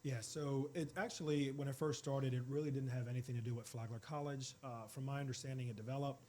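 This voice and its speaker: A man's voice: mid-range voice, fairly even intonation, quick pace, a little mellow. A man in his forties, a college department chair, telling his career story as a TV interview guest in a friendly, reflective way.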